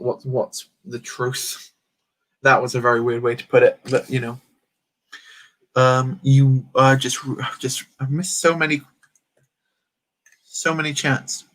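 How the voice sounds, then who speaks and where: A man's voice: medium pitch, fairly even intonation, a little slow, long pauses, fairly bright tone. A man hosting a casual livestream, rambling and answering chat comments while he builds. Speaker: livestream host.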